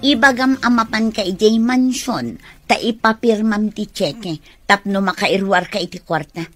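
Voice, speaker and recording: A woman's voice: medium pitch, normal speed, fairly bright tone, dry recording, soft background music, lightly acted. An actress playing a greedy, goading female accomplice in an Ilocano radio drama, with an Ilocano accent. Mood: conniving.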